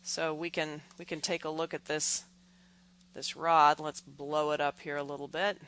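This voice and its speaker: Bright-voiced woman teaching step by step. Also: sits low, level tone. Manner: deliberate, halting phrasing with repeated words. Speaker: engineering instructor. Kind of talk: step-by-step lecture explanation.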